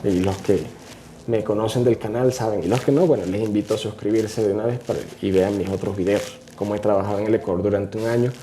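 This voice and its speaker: A man, a vlogger giving emphatic, opinionated political commentary to camera, sometimes rushing and sometimes slowing for stress. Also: lowish voice, fairly even intonation, mellow, a little hiss or hum, low-quality recording. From Venezuela. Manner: emphatic, opinionated, varying pace.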